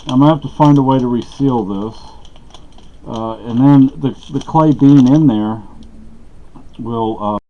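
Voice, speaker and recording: Middle-aged man from Texas, chatty and meandering, recorded in a dark room on a dull-sounding camera mic. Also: mid-range voice, measured pace, pauses often. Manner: meandering, many fillers and restarts. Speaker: vlogger. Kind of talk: rambling casual talk to camera. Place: small dark room at home.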